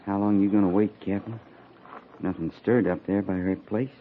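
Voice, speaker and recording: An actor playing a seasoned, plain-spoken cavalry sergeant in a 1950s radio western, folksy and laconic. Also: male voice, fairly low pitch, steady tone, normal speed, lightly acted. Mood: watchful. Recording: muffled, noisy 1950s radio recording.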